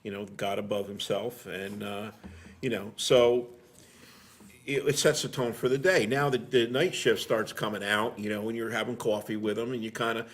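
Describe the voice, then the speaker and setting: A man's voice: fairly low pitch, steady tone, normal speed, a bit bright. A middle-aged man, a firefighter, chatting casually and telling stories in a blunt, salty way. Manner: blunt, salty everyday talk. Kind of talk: casual conversational storytelling.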